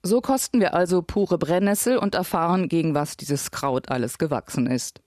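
A man reading scripted documentary voice-over narration in a measured, even manner. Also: a little high, dry recording, studio quality.

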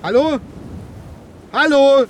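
An actor playing an alarmed, excitable farmer in a radio drama, agitated and blunt in his colloquial speech. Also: very high-pitched, slow, a little hiss or hum. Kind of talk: German radio drama acting.

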